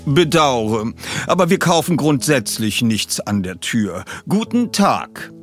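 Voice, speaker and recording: A man's voice: medium pitch, big pitch swings, takes it slowly, pitched above the performer's own voice. A haughty butler in his late forties, acted out by a male narrator. He speaks with stiff, condescending formality, in an audiobook reading with music.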